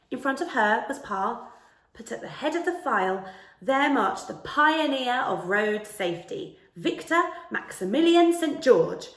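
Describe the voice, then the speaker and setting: A woman reads a children's book aloud in a fairly high voice. Her delivery is animated and theatrical, with careful phrasing. The recording has a roomy indoor echo. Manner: careful, dramatic storytelling delivery. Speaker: storyteller reading a children's book. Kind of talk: expressive read-aloud of a children's story. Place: small living room, indoors.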